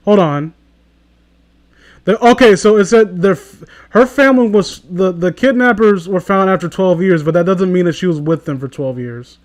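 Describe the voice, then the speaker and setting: Sarcastic, higher-voiced man riffing casually. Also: normal speed. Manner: sarcastic, foul-mouthed. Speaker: host of a comedy reading channel. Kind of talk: casual riffing commentary while reading a story aloud.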